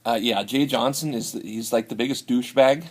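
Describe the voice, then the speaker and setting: A man's voice: medium pitch, natural voice. A man playing a boozy, brawling Canadian hoser with a Canadian accent, rambling and stumbling, ending lines with "eh". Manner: rambling, halting, tacks on "eh". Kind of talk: improvised comedy sketch.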